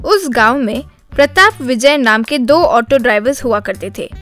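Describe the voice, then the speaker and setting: A woman's voice: on the high side, animated, moderate pace, fairly bright tone, soft background music. A woman narrating a scripted children's story as the storyteller.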